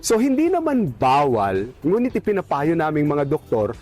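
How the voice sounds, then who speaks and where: A man explains health advice as a doctor would, earnest and instructive. His voice sits a little high and moves animatedly, with light music behind. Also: huge pitch swings, moderate pace, darkish tone. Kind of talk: explanatory sponsored health segment.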